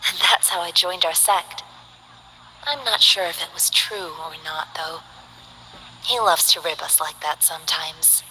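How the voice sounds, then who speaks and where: A calm young Taoist fighter. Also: female voice, on the low side, measured pace, a little hiss or hum, low-quality recording. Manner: composed, earnest, gently formal. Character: calm young female Taoist martial artist.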